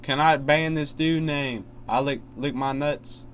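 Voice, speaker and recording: A man's voice: fairly high pitch, steady tone, a little slow, close to an everyday voice. Man playing an insistent, annoyed clueless dad. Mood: puzzled, insistent. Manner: insistent, repetitive questioning. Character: annoyed, clueless father fretting over his son's game. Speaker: prank caller. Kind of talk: prank phone call, improvised. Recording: dull, lo-fi phone call with faint noise.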